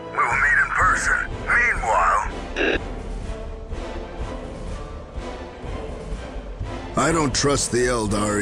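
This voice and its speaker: Stern, suspicious general with swooping delivery. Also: male voice, on the low side, vocal fry, heavily acted. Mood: suspicious, commanding. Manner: formal, stern, clipped military delivery. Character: gruff, proud, suspicious human military general. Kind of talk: dramatic video game voice acting. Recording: music under the voice.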